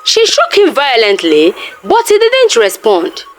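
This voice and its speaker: Highly animated woman telling a folktale. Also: a little slow, faint music behind. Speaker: storyteller. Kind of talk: dramatized scripted folktale narration.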